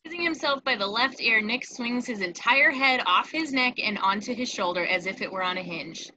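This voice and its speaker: A woman's voice: on the high side, normal speed, bright, forceful tone, highs cut off, a little hiss or hum. A woman narrator reading out scripted screen directions for a table read.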